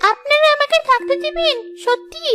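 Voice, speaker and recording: A woman's voice: extremely high pitch, moderate pace, pushed and bright, soft background music. A woman voicing an innocent, earnest little fairy girl in a cartoon fairy tale. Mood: timid pleading. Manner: childlike, earnest.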